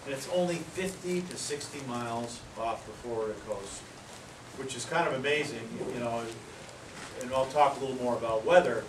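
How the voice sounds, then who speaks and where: A man from Maine giving a casual talk outdoors, with many fillers and pauses. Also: medium pitch. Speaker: presenter. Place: outdoor pavilion among palm trees. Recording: roomy, distant, noisy outdoor sound.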